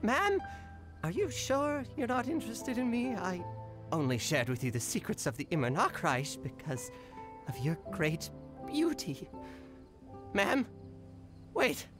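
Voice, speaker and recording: A vain talking raven who fancies himself a suitor in an anime-style game. His flirtatious lines swoop up and down dramatically. Music is clearly heard behind him. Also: high voice, huge pitch swings, a little slow, long pauses, heavily acted. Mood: pleading.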